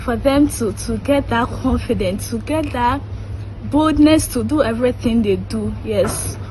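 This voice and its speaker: A young woman earnestly tells her own story, her slightly high voice rushing forward at times. Faint ambient noise sits behind her. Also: a little mellow, poor audio. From Ghana. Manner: earnest, speeds up when recalling the past. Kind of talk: personal testimony.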